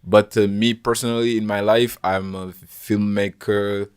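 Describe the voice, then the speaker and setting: Low-voiced halting podcast host. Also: male voice, little pitch movement, smooth. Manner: halting, many restarts and fillers, teasing. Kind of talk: casual interview chat.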